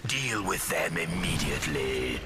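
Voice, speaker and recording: A man's voice: low voice, expressive, a little slow. An actor playing a cruel, imperious alien warlord in a video game, pompous and theatrical in his formal commands. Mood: commanding, angry. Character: imperious male alien warlord, menacing, self-righteous and cruel. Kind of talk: video game villain acting. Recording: loud game music underneath.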